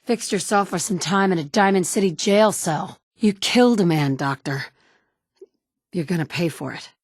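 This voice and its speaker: A woman's voice: on the low side, moderate pace, dry recording, studio quality, lightly acted. An actress in her thirties playing a blunt, sardonic mother in a game, with clipped one-liners. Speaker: player character. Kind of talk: video game dialogue lines. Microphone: studio mic.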